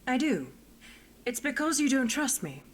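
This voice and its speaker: An actress playing a proud, coolly formal marshal in a game, speaking in a deliberate, pointed way. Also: female voice, sits high, big pitch swings, a little hiss or hum. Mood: accusing. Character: proud female marshal, wounded, coolly formal guild loyalist.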